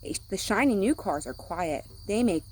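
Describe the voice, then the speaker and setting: A woman's voice: mid-range voice, animated, normal speed, darkish tone. A woman reading a picture book aloud to children, speaking simply like a teacher to young listeners. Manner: acts out sound words.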